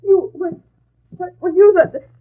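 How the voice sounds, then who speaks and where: An actress playing a timid, frightened housewife, stammering and flustered in 1940s radio drama style. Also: female voice, extremely high pitch, unhurried. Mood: startled, afraid. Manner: stammering, flustered, breathless hesitation. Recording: tinny, scratchy 1940s radio broadcast.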